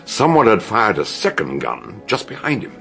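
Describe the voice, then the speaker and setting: A shrewd older British detective in a radio murder mystery. His low voice creaks with gravel and swings widely as he lays out each deduction theatrically, lingering on dramatic pauses. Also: wildly swooping pitch, a bit bright, soft background music, heavily acted. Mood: relishing, dramatic.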